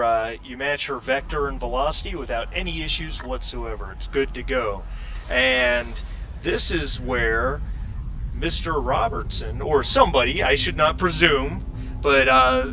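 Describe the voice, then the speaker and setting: Male game master, medium pitch, bright tone, halting explanatory delivery, slight background noise. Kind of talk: running a tabletop role-playing game.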